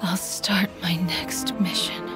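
An actress voicing an injured, devoted young woman in a game trailer, weak, breathy and halting in her earnest speech. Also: fairly low pitch, steady tone, in a whisper. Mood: weak gratitude. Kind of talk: animated game trailer acting. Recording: game trailer played over music.

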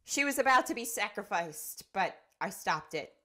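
A woman playing a shaken but determined young woman, telling grim events in an audio drama with halting, deliberate delivery. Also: medium pitch, animated, pushed and bright. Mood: urgent, concerned. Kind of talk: audio drama narration and dialogue.

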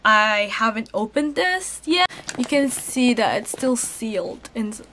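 A young woman with a cold, chatting excitedly as she unboxes and shows clothes in a haul video. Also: fairly high pitch, a little slow, slight background noise. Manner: chatty and excitable, chuckles at prices. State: has a cold. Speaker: channel host unboxing a lucky bag. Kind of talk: casual haul vlog voice-over. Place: indoors at a table.

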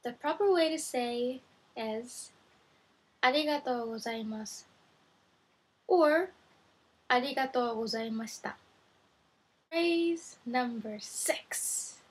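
Young woman, native Japanese speaker, vlog teacher; unhurried, careful delivery that repeats every phrase, bedroom webcam sound. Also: a little high, slightly rough. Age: young adult. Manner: slow, careful, repeats each phrase twice. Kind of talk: casual video lesson. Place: bedroom, indoors. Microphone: webcam at desk.

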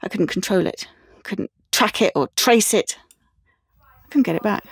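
An actress playing a sensitive teenage art student, confiding haltingly in an audio drama monologue. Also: female voice, medium pitch, gravelly, slightly rough. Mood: anguished.

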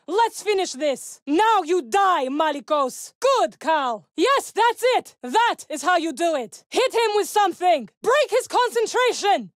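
Game role: fierce young witch warrior, very high voice, clipped commands, loyal companion in battle, dry close sound. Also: no echo. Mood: urgent, intense. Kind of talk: video game combat barks.

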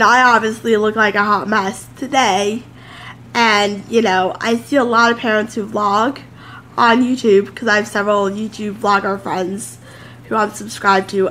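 A woman's voice: mid-range voice, fairly bright tone, a little hiss or hum, rough recording. Woman, 30s, home vlogger, congested and nasal, chatty and loose, close camera. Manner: rambling, chatty, many fillers. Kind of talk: casual sit-down chat to camera. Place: home office, indoors.

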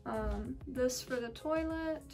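Young girl w a high voice, chatty and rambly, kinda far from the cam, faint music behind. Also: slow. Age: young adult. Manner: chatty, lots of ums and so yeah. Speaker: vlogger. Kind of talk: casual clean-with-me vlog. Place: small house rooms, indoors. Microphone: camera on a stand, from across the room.

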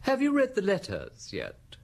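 A genteel society nephew in an old radio play. He is polite and hesitant, and his pitch swings very widely as he talks. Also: huge pitch swings, a little slow, creaky, exaggerated voice. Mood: polite, eager. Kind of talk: old radio drama acting.

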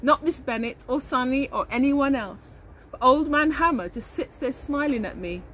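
A woman's voice: sits high, normal speed, a bit bright. A middle-aged woman reading aloud expressively as a teacher of young children, speaking to them directly. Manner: addresses children directly, asks them questions. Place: outdoors in a leafy garden.

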